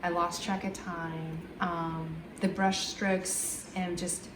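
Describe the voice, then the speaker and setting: A woman answering interview questions thoughtfully, pausing often with ums. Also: on the low side, flat delivery, echoey room, noisy background. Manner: thoughtful, many ums and pauses.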